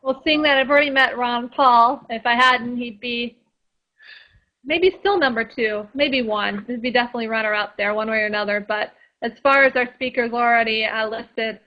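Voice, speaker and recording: A woman's voice: a little high, moderate pace. Chatty woman on a dull lo-fi line. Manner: chatty, lots of fillers and restarts. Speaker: guest. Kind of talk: casual radio interview. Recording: dull lo-fi sound.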